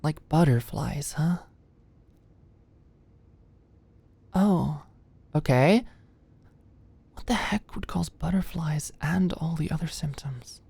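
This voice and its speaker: A woman's voice: deep voice, slow pace, creaky, warm tone, slightly rough, dry recording, full character voice. A woman playing an anxious, flustered young female medical student in an intimate ASMR roleplay, shy, hesitant and stammering. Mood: nervous, explaining. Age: young adult. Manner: stammering, hesitant, muttering asides under breath. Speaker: voice actor in audio roleplay.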